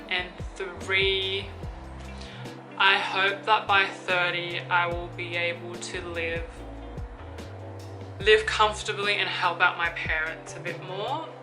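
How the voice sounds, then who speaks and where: A woman in her twenties talks slowly and casually, filling pauses with ums as she thinks aloud. Her voice sits a little low. Music plays clearly underneath. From Australia. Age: 20s. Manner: chatty, thinking aloud with fillers. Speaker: YouTuber. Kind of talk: casual Q&A vlog. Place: room with an open window. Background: background music.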